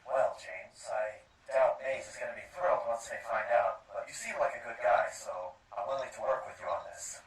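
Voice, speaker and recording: A man's voice: medium pitch, normal speed, hardly any pauses, lightly acted. Man acting a shrewd researcher, distant and roomy. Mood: casual, dealing. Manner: informal, frank, wry. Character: shrewd, casual male researcher negotiating a deal. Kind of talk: scripted analog horror dialogue. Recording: roomy, distant, faint noise.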